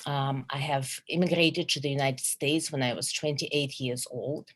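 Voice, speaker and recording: Low-voiced Russian woman presenting a webinar. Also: steady tone. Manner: earnest, measured, with many fillers and restarts.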